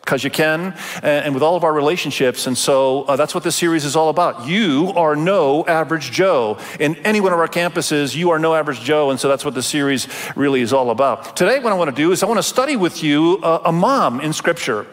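A middle-aged male pastor raised in Indonesia, preaching a Sunday sermon in a relaxed, conversational way with many fillers and restarts. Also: a little high, a little fast, few pauses. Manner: warm conversational delivery with frequent fillers and restarts. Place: large church auditorium. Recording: long church reverb.

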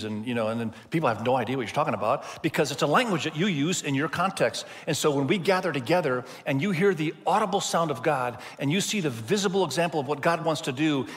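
A man who grew up in Indonesia, preaching an earnest, emphatic sermon to a church gathering. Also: medium pitch. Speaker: pastor. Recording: long hall reverb.

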